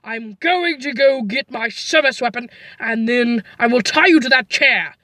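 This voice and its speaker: A woman voicing a cold, vengeful husband with a flat, menacing deadpan delivery in a comic sketch. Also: on the high side, unhurried, no echo.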